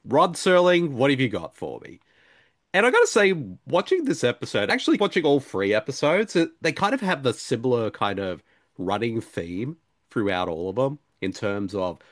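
A male podcast co-host talks casually and jokingly, rambling through his points. His medium-pitched voice is very animated, recorded dry. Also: normal speed, no room sound. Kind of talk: casual discussion chat.

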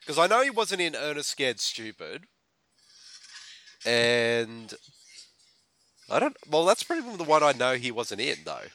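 A man co-hosting a casual movie commentary podcast, chatty and quick to laugh. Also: mid-range voice, very animated, pushed and bright. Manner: chatty, laughs often, self-deprecating. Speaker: podcast co-host.

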